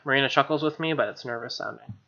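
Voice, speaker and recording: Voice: medium pitch, quick pace, vocal fry, sharp tone, lo-fi sound. A streamer reading a visual novel aloud and breaking off into casual, slangy, opinionated commentary. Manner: slangy, exclaiming, opinionated asides. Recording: music behind the voice.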